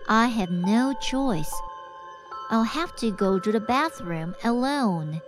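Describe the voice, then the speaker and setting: A woman voicing a frightened schoolgirl who narrates her own night of terror in an animated horror story. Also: a little high, slow, over music. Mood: uneasy. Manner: hushed, breathy fear rising to screams.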